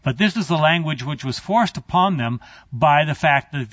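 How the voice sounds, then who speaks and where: Man, Bible teacher, classroom lecture, conversational, digressive asides, higher voice, slightly dull sound. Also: lively intonation, normal speed, fairly bright tone, no echo. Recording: dry, slightly muffled recording.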